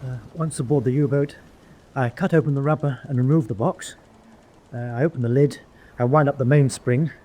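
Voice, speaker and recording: A man's voice: medium pitch, steady tone, normal speed, mellow, close to an everyday voice. A man playing a nervous, reluctant escaper in a war radio drama, speaking hesitantly. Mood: uneasy, careful. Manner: hesitant, unsure. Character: nervous, reluctant young POW escaper, sent to sabotage. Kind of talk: vintage-style war radio drama acting.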